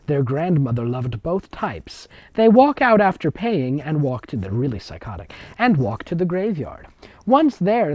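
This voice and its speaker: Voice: mid-range voice, darkish tone, no room sound. A sarcastic, exasperated reader narrating amateur fiction and breaking off to mock it with commentary. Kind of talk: mocking story reading with ad-libbed commentary. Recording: dull, lo-fi close recording.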